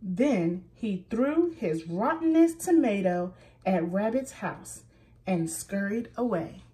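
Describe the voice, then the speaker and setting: A woman reading a children's picture book aloud in a slow, expressive storytelling manner. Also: medium pitch, far from the mic.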